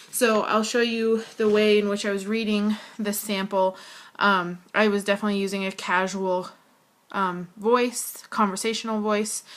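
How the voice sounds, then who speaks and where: Deep, hoarse woman with vocal fry. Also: fairly bright tone. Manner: hesitant, frequent fillers. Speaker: student. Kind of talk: casual video assignment to camera.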